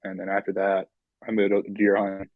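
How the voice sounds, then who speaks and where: A man's voice: sits low, monotone, brisk, dry recording, phone-quality sound. A young man from North Carolina, a guest chatting casually and rambling with many ums. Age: young adult. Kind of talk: casual interview chat.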